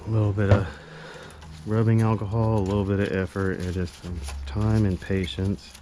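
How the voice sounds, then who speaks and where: A man with a low-pitched voice talks through a hands-on repair in loose, slangy, unscripted chat. He drifts between muttering to himself and addressing viewers. The action-camera audio has a faint noise behind him. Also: little pitch movement, moderate pace. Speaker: amateur repair vlogger. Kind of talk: casual unscripted chat while working on a repair. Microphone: GoPro action camera.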